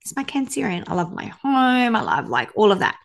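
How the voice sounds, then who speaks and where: A woman hosting a podcast in casual chat, with an animated, self-deprecating manner. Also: mid-range voice, brisk, vocal fry. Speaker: podcast host.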